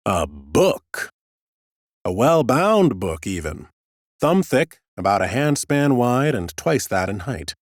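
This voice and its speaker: A man narrating an audiobook in the first person as an ancient undead scholar, a fussy, smug, whimsical gentleman with a theatrical, drily humorous delivery. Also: medium pitch, sing-song, takes it slowly, no room sound, clean studio sound. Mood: curious, appraising.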